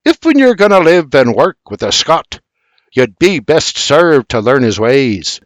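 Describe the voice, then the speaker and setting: A man's voice: a little high, sing-song, unhurried, dry recording. A man voicing a stubborn, cheerful old Scottish man in a Scottish accent who lectures with plenty of Scots dialect. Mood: insistent. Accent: Scottish, as played.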